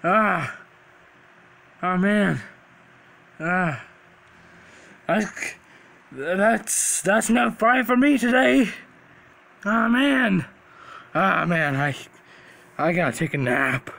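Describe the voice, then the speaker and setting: A man voicing a manic, dimwitted cartoon creature that stammers, repeats himself and picks fights in a squeaky, creaky voice. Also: very animated, drawn-out words, long pauses, sharp tone, heavily acted. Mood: dazed, weary.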